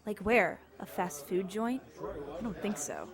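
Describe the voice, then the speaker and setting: An actress playing a chatty, casually sarcastic young motel desk clerk in an audio drama. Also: female voice, medium pitch, measured pace, a crowd in the background, natural voice. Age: young adult. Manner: casual, sarcastic, then terrified.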